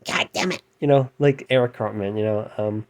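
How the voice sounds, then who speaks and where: A man in his thirties with a light, androgynous voice, ranting at home at night in a rambling, self-deprecating way. He mostly keeps his voice down, then flares up loudly before trailing off again. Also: fairly low pitch, moderate pace. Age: 30s. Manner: keeps his voice down, with loud bursts. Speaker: musician and vlogger. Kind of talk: rambling late-night rant to camera.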